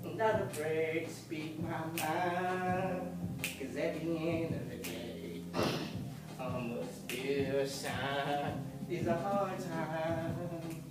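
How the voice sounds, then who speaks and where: A man's voice: fairly high pitch, steady tone, extremely slow, over loud music. A young man performing his own rap with a sung hook at a graduation ceremony. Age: young adult. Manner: rhythmic rapping with sung hook. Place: large hall at a graduation ceremony. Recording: echoey hall from far off.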